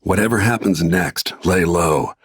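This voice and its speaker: A middle-aged assassin from a video game, grim and bent on revenge. The voice sits deep, with heavy gravel and a very raspy husk, recorded dry. Lines come out terse and brooding, always under control. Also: male voice, a little slow, dry recording, heavily acted. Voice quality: gravelly, husky. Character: grim, vengeful middle-aged male assassin bodyguard and protective father.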